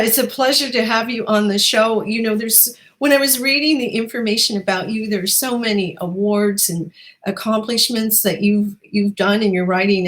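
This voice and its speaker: A woman hosting a book show, introducing her guest and asking questions in a conversational interview style. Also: medium pitch. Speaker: talk show host interviewing an author.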